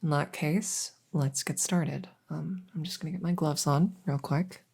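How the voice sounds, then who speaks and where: A woman playing a gentle, reassuring veterinarian in a soft-spoken ASMR roleplay, hesitant and soothing as she explains. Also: low voice, unhurried, gravelly, husky, exaggerated voice. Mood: calm, reassuring. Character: gentle, reassuring female veterinarian examining a werewolf patient. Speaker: audio roleplay performer.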